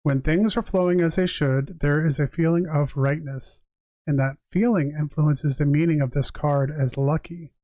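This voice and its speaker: A man's voice: on the high side, steady tone, moderate pace, warm tone, no echo, studio quality. A man giving a tarot reading on a livestream, conversational and reflective, moving between reading aloud and chatting directly to viewers. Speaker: tarot reader and live streamer.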